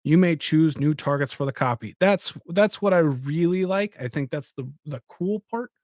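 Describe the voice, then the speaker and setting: A man's voice: fairly high pitch, normal speed, no echo, clean studio sound. A man hosting a live stream, chatting casually and rambling as he talks to his viewers. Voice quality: says he has a soft voice. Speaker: podcast host.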